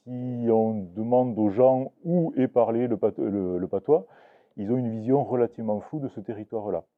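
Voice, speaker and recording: A man's voice: medium pitch, moderate pace, phone-quality sound. A man teaching about a regional language to a live audience, talking with many restarts and hesitations. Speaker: Occitan teacher giving a talk. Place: book fair talk.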